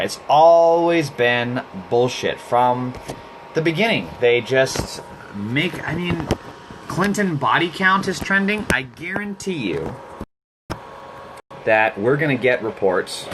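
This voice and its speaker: A man's voice: a little high, a little hiss or hum, rough recording. Blunt, heated man ranting casually. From Costa Rica. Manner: blunt, heated, swears freely, frequent restarts. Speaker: live stream host. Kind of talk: casual monologue.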